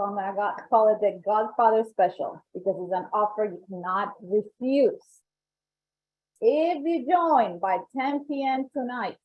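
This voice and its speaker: Colombian-accented woman on a tinny call. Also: a little high. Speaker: membership coordinator. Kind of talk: presenting member benefits on a webinar. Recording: tinny phone-like call audio.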